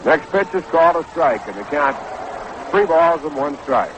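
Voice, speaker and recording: A man's voice: on the high side, animated, moderate pace, muffled recording. Noisy vintage radio American baseball announcer, male. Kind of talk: live radio sports commentary. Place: ballpark broadcast booth. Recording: noisy 1960s AM radio broadcast.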